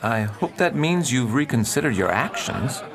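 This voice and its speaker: An actor playing an upright, earnest preacher who pleads and reasons with others in a radio drama. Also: male voice, mid-range voice, very animated, moderate pace, a bit bright. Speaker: reverend at a mission.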